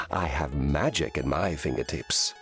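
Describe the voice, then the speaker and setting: A man's voice: a bass voice, measured pace, a bit bright, soft background music, full character voice. A man voicing a haughty, mocking trickster god for a video game, theatrical and condescending. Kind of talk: video game character acting.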